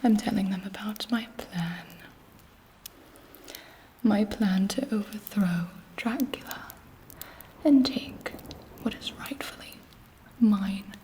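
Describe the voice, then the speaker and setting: A woman voicing an imperious, scheming vampire queen in a breathy whisper, haughty and seductive, as an ASMR roleplay. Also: medium pitch, mellow, slight background noise, close to an everyday voice. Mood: conspiratorial. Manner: slow, haughty, seductive, measured pauses.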